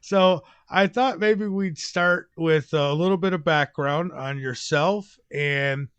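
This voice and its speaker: A man's voice: a little high, slow, fairly bright tone, smooth, no room sound. A man hosting a radio interview in a folksy, chatty way, with fillers. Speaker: radio show host.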